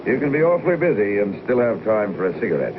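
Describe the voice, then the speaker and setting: A man's voice: lowish voice, sing-song, quick pace. A man delivering scripted narration for a television commercial. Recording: noisy muffled old 1950s commercial.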